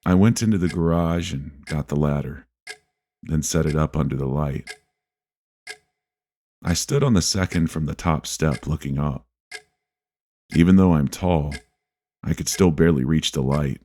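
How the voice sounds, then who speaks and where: A man narrating a scripted first-person horror story in a measured, deliberate way with dramatic pauses. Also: very deep voice, warm tone.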